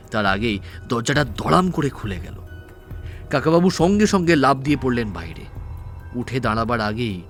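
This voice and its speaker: A man reading scripted dramatic narration for an audio story. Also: on the low side. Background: faint background music.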